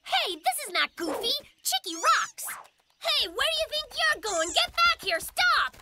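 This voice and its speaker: A woman voicing an excitable, jokey little boy brown bear cub in a children's cartoon, with a playful, theatrical manner. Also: extremely high pitch, unhurried, very noisy, heavily acted. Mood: proud, theatrical.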